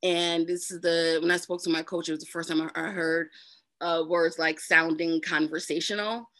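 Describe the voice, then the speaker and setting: A woman's voice: on the low side, flat delivery, normal speed, fairly bright tone, dry recording. A woman with a New Jersey accent, a voice narrator talking casually as an interview guest, full of fillers and repeats. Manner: chatty, uses lots of fillers and repeats.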